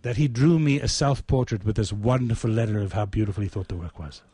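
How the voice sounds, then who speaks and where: A man's voice: on the low side, fast, warm tone, husky. A man co-hosting a radio theatre show as a poet, with a theatrical streak in how he speaks. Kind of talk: casual reminiscing and dramatic poetry recital.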